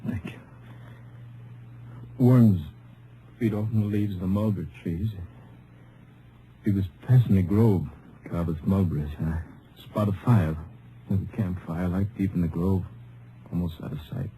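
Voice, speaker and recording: Casting: wounded lawman, low and very muffled-dark, halting and folksy, faint hiss of old radio. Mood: weak, dazed. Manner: halting, plain-spoken, folksy. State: weak, in pain. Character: gravely wounded Texas deputy sheriff, grieving father. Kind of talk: old-time radio drama acting.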